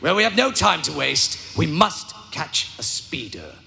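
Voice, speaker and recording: An actor in a live audio drama voicing a fussy, anxious protocol droid with a prim, formal manner. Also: male voice, on the high side, sing-song, unhurried, gravelly, bright, forceful tone, heavily acted. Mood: fretful. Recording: noisy live stage, hall reverb.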